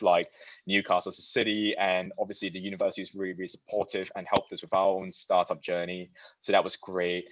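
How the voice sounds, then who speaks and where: A young man from Malaysia talks through his presentation in a low voice, loose and chatty, often restarting his sentences. The sound is dull and lo-fi, very dry, with no room around it. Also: fairly bright tone, no echo. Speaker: design studio founder giving a talk. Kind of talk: casual presentation talk.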